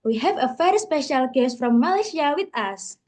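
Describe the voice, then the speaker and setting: A young woman co-hosts a casual podcast. She speaks with a fairly high voice and puts her questions to the guest in a bright manner that sounds read from a script. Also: animated, normal speed. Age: young adult. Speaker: co-host.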